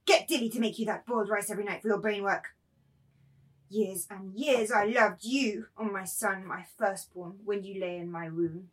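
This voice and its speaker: A woman's voice: mid-range voice, steady tone, normal speed, close to an everyday voice. A woman playing a dead mother's ghost, pious and menacing, in a fervent, solemn dramatic reading of literary fiction. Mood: solemn, tender.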